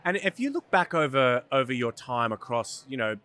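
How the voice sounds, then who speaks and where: Upbeat male podcast host, mid-pitched, who asks loose conversational questions. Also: sing-song, normal speed, sharp tone. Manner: upbeat, many listener "hmm"s and "um"s. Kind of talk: conversational interview.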